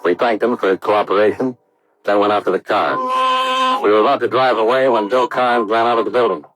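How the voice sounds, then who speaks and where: A man's voice: lowish voice, fairly even intonation, brisk, noisy background, natural voice. An actor playing a hard-boiled American insurance investigator, narrating his case in clipped, matter-of-fact 1950s radio drama style.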